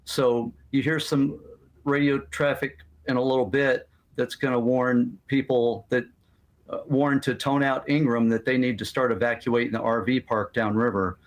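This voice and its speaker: A man's voice: medium pitch, fairly even intonation, moderate pace. A man giving plain-spoken answers in an interview as a local witness. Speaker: interviewee, local emergency radio expert.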